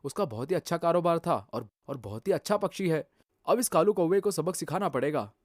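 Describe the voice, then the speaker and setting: A fair-minded male pigeon, voiced by a woman for a cartoon, speaking fast and earnestly as he argues for a friend. The voice sits a little lower than her own and sounds dry and close. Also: animated, darkish tone, no room sound. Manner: earnest, quick, persuading.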